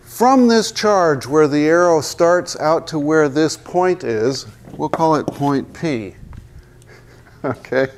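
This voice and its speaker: Older man, physics lecturer, slightly high voice, playful rhetorical questions, lapel mic in a lecture hall. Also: lively intonation, slow pace, slight background noise. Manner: jokey, asks the class rhetorical questions; walks and points at the chalkboard while talking. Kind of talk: university lecture.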